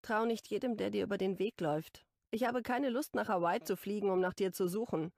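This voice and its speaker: A woman's voice: mid-range voice, a little fast, creaky, natural voice. A woman voicing a protective big sister. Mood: caring. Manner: gentle, motherly delivery. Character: caring, protective older sister, young woman. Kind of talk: audiobook dialogue reading.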